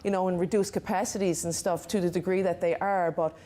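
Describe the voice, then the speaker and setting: A woman's voice: fairly low pitch, fairly even intonation, fairly quick, warm tone. A woman speaking as a guest in a studio interview, promoting a cause emphatically and persuasively, repeating key words. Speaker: interview guest, downtown business association representative.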